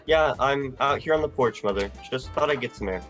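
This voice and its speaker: A man's voice: mid-range voice, brisk, a bit bright, soft background music, natural voice. A young man playing an earnest, frustrated small-town man in 1940s radio drama style, folksy and stammering. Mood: wry, evasive.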